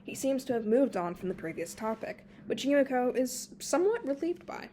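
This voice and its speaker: Woman reading fan fiction, clear background noise. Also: high-pitched, sing-song, a little fast, mellow. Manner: stumbles over words and corrects herself. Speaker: amateur podfic reader.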